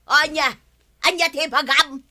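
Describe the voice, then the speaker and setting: A woman's voice: high voice, steady tone, measured pace, sharp tone, heavily acted. A woman playing a suspicious, scolding wife with an Ilocano accent in a theatrical radio comedy drama. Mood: angry scolding. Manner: theatrical, interrogating, exclaiming. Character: nagging wife, suspicious, quick to scold and wail. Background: music.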